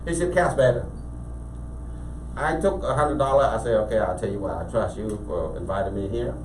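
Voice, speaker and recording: A man from Cambodia with a Cambodian accent, a business owner telling his story as a guest in a casual interview, animated and full of restarts. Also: mid-range voice, brisk. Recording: echoey room, mic at a distance, faint background noise.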